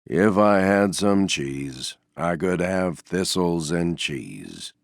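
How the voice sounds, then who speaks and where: A man voicing a gloomy, resigned, self-effacing stuffed donkey for a video game, with a mournful, deadpan, sighing delivery. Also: sits low, takes it slowly, vocal fry, no echo, clean studio sound, heavily acted. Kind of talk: video game character voice lines.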